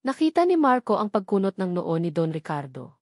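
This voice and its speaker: A woman's voice: mid-range voice, sing-song, a little fast, no room sound, clean studio sound. A woman with a Filipino accent reading a scripted romantic drama story as its narrator.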